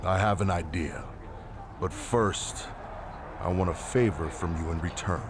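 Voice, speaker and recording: A deep-voiced, scheming wrestler in a video game cutscene. He is blunt and terse, with cool self-assurance, over clearly noisy ambience. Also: measured pace.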